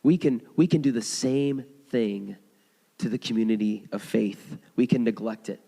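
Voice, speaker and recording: A hoarse man in his thirties preaches in a church sanctuary, his voice carrying a long hall reverb. He talks in a loose, conversational way, often restarting his sentences as he tells a story. His pitch sits in an ordinary middle range. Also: moderate pace, mellow. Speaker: pastor. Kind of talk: sermon.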